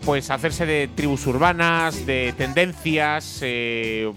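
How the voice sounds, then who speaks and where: A man's voice: a little high, slow pace. A man hosting a comedy radio show in a studio, teasing his guests. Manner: playful, teasing. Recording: radio studio with music bed.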